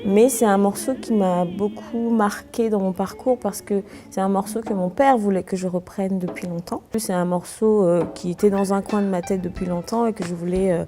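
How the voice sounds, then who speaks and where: A young woman from Togo, a singer, answering interview questions calmly and thoughtfully, with many hesitations. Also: mid-range voice, normal speed, few pauses, faint music behind.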